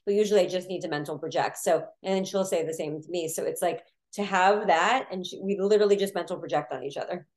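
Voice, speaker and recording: A woman astrologer, a podcast guest, chatting casually and telling quick animated stories with voiced asides. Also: lowish voice. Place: Florida.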